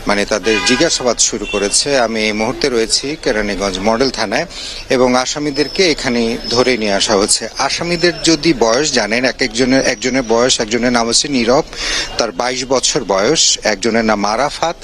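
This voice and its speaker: A man's voice: mid-range voice, normal speed. A man with a Bangladeshi accent giving a live, unscripted field report in a wordy, explanatory way, mixing in English terms. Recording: noisy live location audio.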